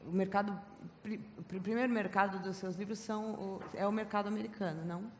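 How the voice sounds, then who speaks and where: A woman's voice: mid-range voice, fairly even intonation, quick pace, darkish tone. A Brazilian woman with a mid-range voice moderates a festival talk, hesitant and restarting as she frames her question. Her voice carries long reverb from a big hall, with faint noise beneath. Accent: Brazilian Portuguese. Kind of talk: onstage interview questions.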